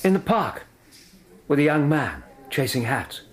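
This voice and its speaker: Radio play: a warm-hearted English doctor companion, lively mid-pitched voice. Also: male voice, unhurried, husky, heavily acted. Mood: teasing. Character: loyal, genial male doctor companion, earnest. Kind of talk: 1990s radio drama acting.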